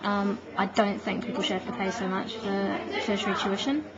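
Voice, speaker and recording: A woman, a teacher answering interview questions in a relaxed, reflective way with easy laughs. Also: mid-range voice, normal speed. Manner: chatty, laughs easily, reflective. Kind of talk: casual phone interview. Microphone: phone. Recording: muffled phone-call recording with crowd noise behind.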